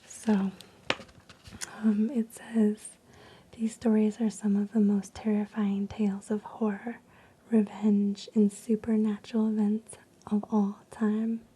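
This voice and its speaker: A woman reading stories in a soft unvoiced ASMR whisper, slow and hushed with frequent ums, while losing her voice. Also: medium pitch, level tone, a little hiss or hum, low-quality recording. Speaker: ASMR creator.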